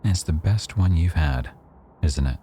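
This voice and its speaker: A man playing a rough-edged mafia boss who owns a cafe, teasing, probing and quietly threatening, in a boyfriend ASMR roleplay. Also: a bass voice, lively intonation, a little slow, a little hiss or hum. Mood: playful, proud. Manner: teasing, probing, smugly self-assured.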